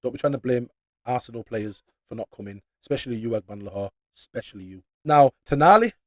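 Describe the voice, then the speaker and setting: Animated Yorkshire man ranting about football. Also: mid-range voice, fast, no room sound, clean studio sound. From Leeds, northern England. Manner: animated, slangy, mocking. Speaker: football channel host. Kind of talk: casual opinionated football rant.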